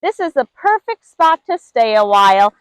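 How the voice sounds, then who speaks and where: Storybook animal: small male lemur played by a woman, high, chirpy and plucky, dry close sound. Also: sing-song, normal speed, no echo. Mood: contented. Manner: chirpy, exclaiming. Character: carefree little male lemur, plucky and defiant.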